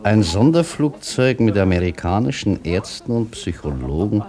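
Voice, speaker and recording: A low-pitched male coffeehouse regular in a radio play. He is an educated gentleman with a conversational delivery. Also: big pitch swings, moderate pace. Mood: opinionated.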